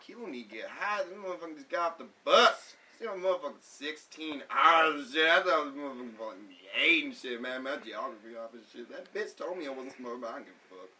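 A man's voice: on the high side, animated, fast, hardly any pauses, pushed and bright, far from the mic. A young man playing Kilo, a brash, profane gangster who talks about himself in the third person, in a garage at night. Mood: swaggering.

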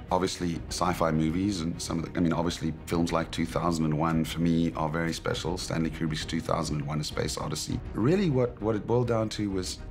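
A middle-aged man giving a behind-the-scenes film interview, speaking deliberately and with emphasis. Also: low voice. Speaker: film director. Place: interview on a film set, indoors. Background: music.

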